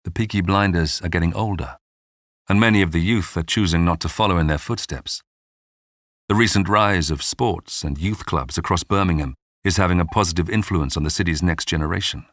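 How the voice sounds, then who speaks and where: A man hosting a history programme, reading scripted documentary narration in a measured, polished storytelling way. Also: low-pitched, a bit bright, no room sound, studio quality. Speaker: podcast narrator and host.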